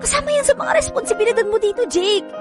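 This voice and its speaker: A woman's voice: very high-pitched, fast, loud music behind the voice. A woman playing an exasperated, reproachful working wife and mother in a radio drama. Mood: exasperated, scolding. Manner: scolding, reproachful. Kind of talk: Filipino radio drama acting.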